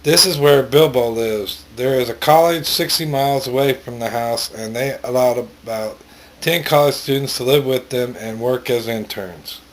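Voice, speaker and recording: A man narrating a story in an amateur read-aloud style, plainly, with pauses and stumbles. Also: medium pitch.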